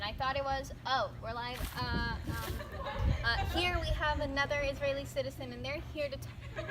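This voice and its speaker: A woman's voice: sits high, natural voice. A woman playing an easily flustered field reporter in a scripted mock newscast. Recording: noisy location-style background ambience.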